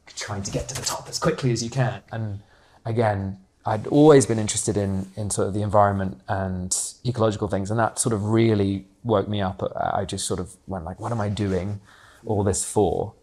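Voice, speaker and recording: Low gravelly man, candid and chatty. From Hong Kong. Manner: candid and animated, frequent fillers like "like" and "you know", mild swearing. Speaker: guest, co-op founder. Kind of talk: reflective conversational interview.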